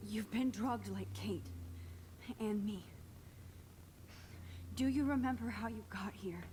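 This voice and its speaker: A woman acting a teenage girl captive in a video game. She is hushed, urgent and determined at a medium pitch, with clear noise in the capture. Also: slow pace, lightly acted.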